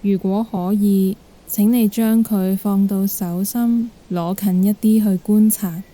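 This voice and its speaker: A woman with a Hong Kong Cantonese accent guiding a meditation in a gentle, calm manner. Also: medium pitch. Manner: gentle, calm, unhurried instruction. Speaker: guided meditation instructor. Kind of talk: guided meditation narration.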